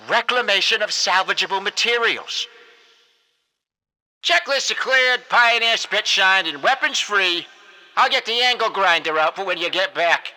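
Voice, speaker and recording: High, piercing old mechanic. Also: male voice, bright, forceful tone, exaggerated voice, poor audio. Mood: wry, musing, then gleeful, urgent. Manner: colloquial, jocular, swooping delivery. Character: folksy, wisecracking older male spaceship hangar mechanic. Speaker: mission briefer and equipment handler. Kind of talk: animated character voice-over for a video game.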